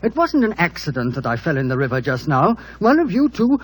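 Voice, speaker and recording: A bitter husband in an old radio drama, highish and husky. He is sardonic and petulant, swinging widely in pitch as he makes formal, suspicious jabs. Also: huge pitch swings, brisk, heavily acted. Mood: angry. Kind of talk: 1940s radio acting.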